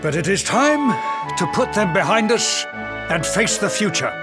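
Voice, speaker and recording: A man's voice: high voice, very animated, moderate pace. An actor playing a grandiose middle-aged king in a game cutscene, speaking in a formal, theatrical and courtly way. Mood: solemn. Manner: formal, stately, theatrical delivery. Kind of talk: scripted game cutscene acting. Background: loud music.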